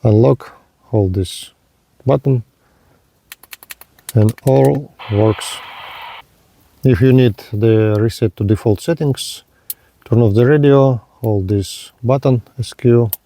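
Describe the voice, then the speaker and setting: A man narrating a hands-on product demonstration in halting, simple step-by-step phrases. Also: medium pitch, mellow, dry recording. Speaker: product reviewer.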